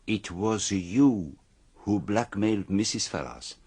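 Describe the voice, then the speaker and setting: A man's voice: fairly low pitch, expressive, takes it slowly, darkish tone, husky, heavily acted. An actor playing a shrewd, courteous older detective in a radio drama, speaking precisely and with formal politeness as he accuses. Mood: accusing, firm.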